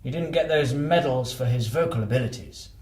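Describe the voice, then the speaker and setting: A man's voice: mid-range voice, brisk, darkish tone, echoey room, natural voice. A man voicing a genial but steely colonel, courteous and clipped, in an audiobook. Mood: genial, brisk.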